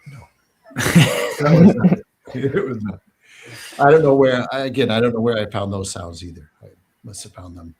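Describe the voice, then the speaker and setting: A man's voice: mid-range voice, normal speed, long pauses, darkish tone. A man hosting a casual listening stream about his album, chatty and self-deprecating, laughing often. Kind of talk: casual chat.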